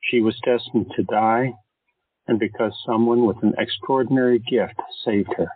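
A man narrating an audio drama in a measured, solemn storytelling manner. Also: medium pitch, fairly even intonation, breathy edge, no echo. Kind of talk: scripted narration. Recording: dry thin phone-like sound, faint music.

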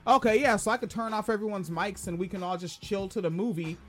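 A man with a noticeably high-pitched voice rambles through a casual live-stream chat, cracking jokes and swearing freely. He talks loosely as a podcast co-host, with faint music under him. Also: fast talker, a bit bright.

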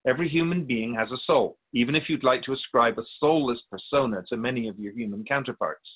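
A man playing a wise, patient otherworldly spirit in a radio drama, explaining things in a measured, gently pedantic way with a husky voice. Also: mid-range voice, narrow, tinny audio, natural voice. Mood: explanatory, measured. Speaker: spirit being interviewed. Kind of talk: scripted radio drama dialogue.

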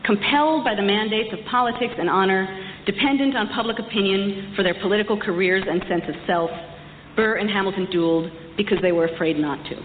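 A woman giving a prepared public lecture, telling history in measured, emphatic and deliberate phrases. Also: medium pitch. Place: large reverberant hall. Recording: echoey hall, dull distant sound, faint noise.

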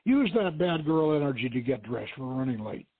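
A man voicing a brisk, cautious treasure hunter with a terse, commanding manner. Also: medium pitch, sing-song, husky. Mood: brisk, wary. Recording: tinny band-limited radio stream, roomy echo.

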